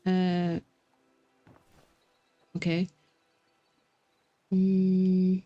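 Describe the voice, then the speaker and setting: A woman's voice: fairly low pitch, monotone, drawn-out words, lo-fi sound. A woman livestreaming a video game, chatting casually and reading dialogue aloud in a musing, hesitant manner. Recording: music behind the voice. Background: game music.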